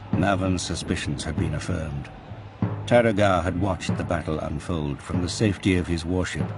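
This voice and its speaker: A man giving dramatic scripted narration for an audio drama. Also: deep voice. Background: music.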